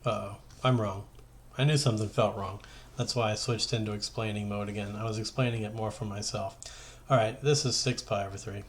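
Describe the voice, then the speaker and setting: A man's voice: on the low side, fairly even intonation, a little fast, few pauses, a little hiss or hum. A man giving an informal math lesson as a teacher, talking conversationally and thinking aloud as he works through steps. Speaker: high school math teacher.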